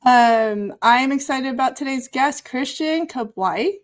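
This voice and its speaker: A woman's voice: sits high, measured pace. A woman hosting a casual online interview, speaking in an informal, chatty, encouraging way. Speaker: show host interviewing a guest.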